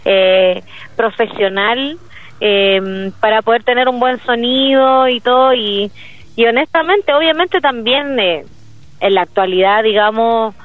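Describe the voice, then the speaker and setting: A woman's voice: on the high side, a little slow. Chilean woman on a tinny line. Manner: hesitant, many fillers and restarts. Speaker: solo musician, interview guest. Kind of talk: casual interview over a call. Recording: dry, tinny phone-like line.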